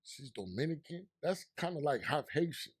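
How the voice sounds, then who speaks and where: A man with a New York accent hosts a laid-back interview in a fairly high voice. He swings from hyped, loud excitement to a low, confiding tone, talking loose and street-casual. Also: moderate pace, slightly rough. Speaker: podcast host and DJ.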